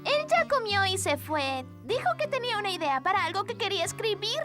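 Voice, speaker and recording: High-voiced, sweet cartoon girl. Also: very animated, brisk. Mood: cheerful, kindly. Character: sweet, helpful little girl running a café. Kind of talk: animated children's cartoon dub. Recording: music behind the dialogue.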